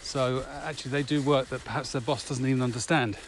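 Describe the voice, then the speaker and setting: A man's voice: mid-range voice, fairly even intonation, fast. A man co-hosting a casual podcast, talking conversationally while out walking. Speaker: podcast co-host. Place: outdoors, on a village walk. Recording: noisy outdoor recording with ambient sound.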